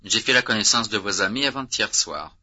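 A man reading out language drill prompts as an instructor, slow and clearly articulated. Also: fairly low pitch, lively intonation, bright, forceful tone. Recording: dull lo-fi tape recording.

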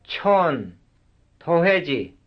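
A man reading short cue words for a language substitution drill, one at a time in a slow, measured way. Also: fairly high pitch, big pitch swings. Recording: dull lo-fi recording.

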